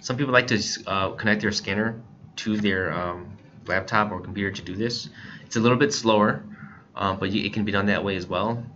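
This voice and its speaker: A man with an American accent and a fairly low voice narrates a tutorial in an informal, step-by-step way. The recording is a little dull, with faint noise behind him. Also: fairly quick, sharp tone. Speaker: instructor, scanner shop staff. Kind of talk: casual software tutorial narration. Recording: muffled lo-fi voice-over, faint background noise.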